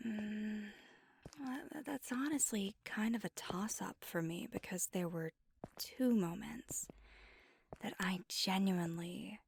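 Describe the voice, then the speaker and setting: A woman playing a flirty, teasing, affectionate vampire on a date in an intimate ASMR roleplay, speaking softly and often dropping to a whisper. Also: medium pitch, gravelly, slight background noise. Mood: tender. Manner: playful, teasing, slow and intimate. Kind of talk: ASMR roleplay, intimate one-sided dialogue.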